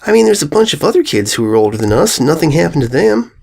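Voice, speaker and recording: A man's voice: on the high side, sing-song, fast, creaky, darkish tone, slightly rough, pitched above the performer's own voice, full character voice. A man voicing an earnest, outspoken young country schoolgirl pony in a storybook reading, folksy and forthright. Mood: puzzled.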